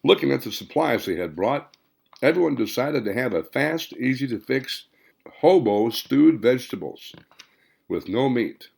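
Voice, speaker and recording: A man's voice: mid-range voice, lively intonation, measured pace, vocal fry, darkish tone, slightly rough. A man reading a scripted story aloud as an audiobook narrator. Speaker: author reading own audiobook.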